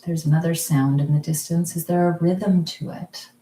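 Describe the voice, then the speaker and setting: Soft-spoken woman guiding a mindfulness exercise. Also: lowish voice, reverberant. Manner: slow, soft, calm guiding with frequent pauses. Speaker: mindfulness group leader. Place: kitchen or dining room at home.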